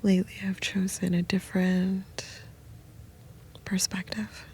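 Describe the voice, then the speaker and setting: A woman whispering soft, meandering, intimate late-night rambling from the floor of a small sound booth. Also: mid-range voice, completely flat delivery, a little slow, slight background noise. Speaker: host.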